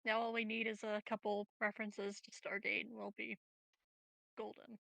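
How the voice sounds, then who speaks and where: A woman's voice: medium pitch, little pitch movement, normal speed, vocal fry, a bit bright, dry recording, low-quality recording. A woman chatting casually as a player in a tabletop game.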